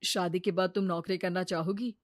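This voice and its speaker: A woman playing an earnest, caring young man, a husband and son, with a measured and serious manner. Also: fairly low pitch, steady tone, dry recording, studio quality, lightly acted. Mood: agreeable.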